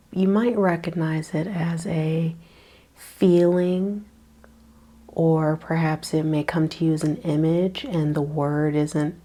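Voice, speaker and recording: A woman leads a guided meditation in a very slow, unhurried voice with long pauses between phrases. Her tone is low and mellow, and her words often fade into a breathy hush. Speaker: yoga nidra teacher.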